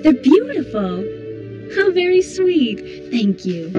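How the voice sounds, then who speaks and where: A woman playing a kind, gracious young princess in an animated film. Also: high-pitched, slow pace, dull audio, over music, full character voice. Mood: gracious, cheerful.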